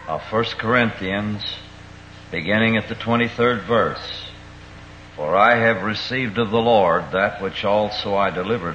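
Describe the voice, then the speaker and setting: A man solemnly reading from the Bible as a minister, in a measured, formal manner. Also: lowish voice, sharp tone. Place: church. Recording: old muffled tape with faint noise.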